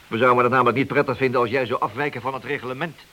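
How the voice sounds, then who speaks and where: A man's voice: medium pitch, fairly even intonation, very fast, pushed and bright. A man acting in a radio play as a cunning, sardonic, mock-polite police detective who bends the rules. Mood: sardonic, needling. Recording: hissy old radio recording.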